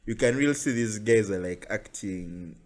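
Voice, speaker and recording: A young Ugandan man with a Ugandan accent, hosting a casual podcast and telling chatty, animated stories. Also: fairly low pitch, normal speed. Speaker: podcast host. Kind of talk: casual chat.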